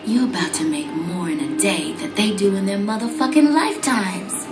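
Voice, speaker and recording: A woman's voice: mid-range voice, moderate pace, close to an everyday voice. A woman playing a brash, foul-mouthed assassin mentor who talks in commanding, taunting slang in a motion comic. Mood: brash, goading. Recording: roomy sound over clear music.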